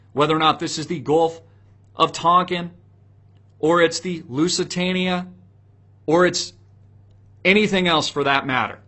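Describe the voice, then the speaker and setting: Male, high voice, online commentator, opinionated, emphatic, preachy delivery, rhetorical questioning. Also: pushed and bright. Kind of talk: opinionated solo commentary to viewers.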